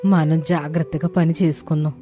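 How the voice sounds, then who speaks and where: Woman as humble village wife, low even voice, mellow, respectful, cartoon moral tale. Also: moderate pace, soft background music, natural voice. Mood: hopeful. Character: poor village wife, humble, careful and sensible. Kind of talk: animated moral story dialogue.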